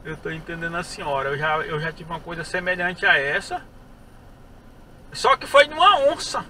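A man's voice: on the high side, lively intonation, moderate pace, pushed and bright. A young man from Brazil who drives a ride-share dressed as a clown, chatting and joking with his passengers and laughing often. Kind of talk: casual prank chat with passengers. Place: inside a car at night. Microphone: dashboard camera mic. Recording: distant in-car mic with road noise.

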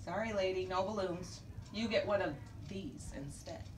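A woman's voice: medium pitch, moderate pace, lightly acted. A woman voicing a blunt male barber in a storybook reading. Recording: roomy distant recording with faint hiss.

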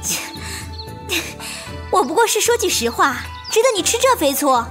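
A woman's voice: very high voice, very animated, normal speed, over music. A woman playing a flirtatious, teasing bandit's wife in a Chinese period audio drama, with a coy, playful manner. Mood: teasing, huffy.

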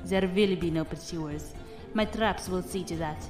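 An actress playing a proud, cool master fighter among space pirates in an audio drama, measured and terse. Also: female voice, on the low side, close to an everyday voice. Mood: coolly confident. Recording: music behind the voice.

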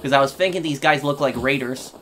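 A man's voice: mid-range voice, a little fast, sharp tone, a little hiss or hum. A man hosting a gaming video with casual, off-the-cuff commentary as he plays. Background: video game sounds.

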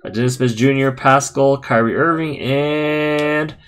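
A man's voice: medium pitch, fairly even intonation, a bit bright, smooth. A man hosting a live card break, casually reading out card names one after another at a table indoors. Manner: unhurried, reads off card names in a list.

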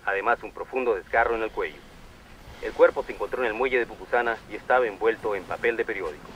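A man's voice: lowish voice, slight background noise, poor audio. A man reading a formal news report about a crime. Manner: formal, measured delivery. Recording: clip played under loud music. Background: loud music.

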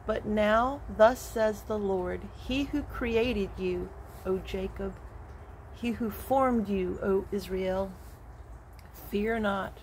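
An older woman in her sixties speaks slowly and earnestly, recording on a phone held at arm's length on a covered porch outdoors. Her voice sits at a middle pitch, and a faint outdoor hum runs underneath it. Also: low-quality recording. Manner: slow, gentle and earnest, with soft laughs. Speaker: retired teacher sharing a devotional. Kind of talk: casual devotional walk-and-talk vlog.

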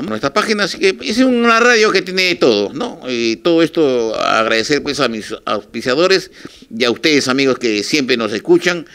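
Older Peruvian man, halting delivery. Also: mid-range voice, a bit bright. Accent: Peruvian Spanish. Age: 60s or older. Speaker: radio station manager, interviewee. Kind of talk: informal televised statement to listeners. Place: small office, indoors. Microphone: handheld reporters' microphones held close.

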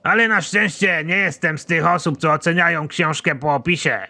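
An actor playing a foul-mouthed, middle-aged army general in an animated comedy, blunt and sardonic with a pompous streak. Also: male voice, a little high, normal speed. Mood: brusque, sardonic. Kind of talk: animated comedy series dialogue.

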